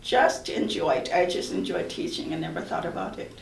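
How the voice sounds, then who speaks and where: A woman's voice: fairly low pitch, moderate pace, vocal fry, slight background noise. A woman from Thailand, a college professor, speaking earnestly and reflectively in a panel discussion, often restarting her sentences. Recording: roomy echo, far from mic.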